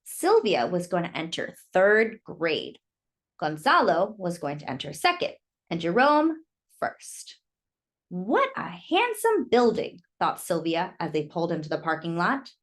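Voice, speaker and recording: A woman reading a children's story aloud in an expressive, emphatic storytelling manner. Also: fairly low pitch, a little slow. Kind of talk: expressive read-aloud narration with brief asides.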